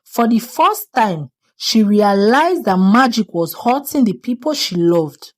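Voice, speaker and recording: A woman's voice: fairly high pitch, animated, slow. A woman narrating a folktale expressively as a storyteller.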